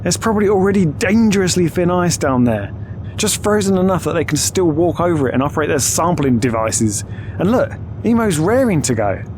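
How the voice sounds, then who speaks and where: A man's voice: a little high, animated, brisk, noisy background, lightly acted. A man playing a confident, breezy spaceship crew leader, assured and firm, in an audio drama. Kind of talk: audio drama character acting.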